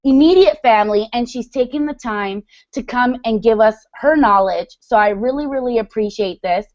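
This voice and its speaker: A woman's voice: a little high, normal speed, a little mellow, dry recording, dull audio. A woman around thirty hosting an online hangout, introducing a guest in an effusive, upbeat way. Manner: effusive and upbeat, heavy use of "super". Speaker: host. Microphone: video call.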